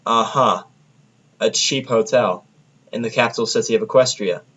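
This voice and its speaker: A narrator plays a grumpy human man stuck among ponies in an audio drama. He is sarcastic and easily exasperated, with a bitter, blunt edge to his medium-pitched voice. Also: moderate pace, a bit bright, husky, lo-fi sound. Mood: dry, sarcastic.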